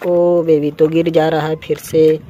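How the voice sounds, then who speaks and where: A man's voice: fairly high pitch, little pitch movement, unhurried, warm tone, highs cut off, slight background noise. A man improvising children's toy-play narration in an exclamatory, sing-song way full of repeated interjections. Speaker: toy channel presenter.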